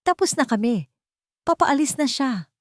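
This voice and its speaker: A woman's voice: high-pitched, measured pace, dry recording, studio quality, voice pushed up. Woman voicing a cold, controlling matriarch. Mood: calm, menacing. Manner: calm with a threatening edge. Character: cold, controlling rich older mother, quietly threatening.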